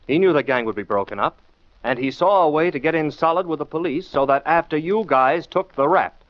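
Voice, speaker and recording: A man's voice: on the high side, brisk, dry recording, lightly acted. A man acting a shrewd, scornful radio detective who lectures emphatically in rhetorical questions, in 1940s style. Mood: scornful, forceful. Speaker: detective lead and show host. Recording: muffled 1940s radio recording.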